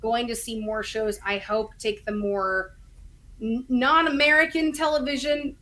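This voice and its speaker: A woman's voice: a little high, steady tone, unhurried, bright, forceful tone. A woman in her thirties chatting casually and keenly as a guest on a podcast panel. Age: 30s. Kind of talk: casual, enthusiastic chat.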